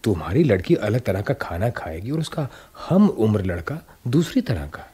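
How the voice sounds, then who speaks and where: Radio drama husband: rough husky voice, slow, self-doubting, expressive and quick to object. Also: male voice, on the low side, heavily acted. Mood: doubtful, objecting. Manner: hesitant, objecting, brooding. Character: anxious, irritable, self-doubting middle-class husband. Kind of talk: Hindi radio drama acting.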